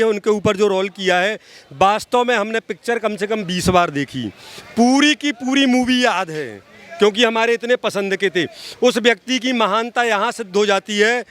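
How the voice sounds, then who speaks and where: A man's voice: high voice, a bit bright. A man giving impassioned, opinionated political commentary as an activist in an interview, emphatic, repeating phrases and addressing the listener directly. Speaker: social activist being interviewed.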